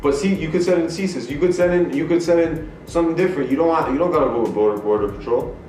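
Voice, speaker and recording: A man, co-hosting a podcast, reasoning out loud in a casual debate, restarting phrases with street slang. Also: fairly high pitch, fast talker, a little hiss or hum. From Canada. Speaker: podcast co-host. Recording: echoey room, mic far off, music behind.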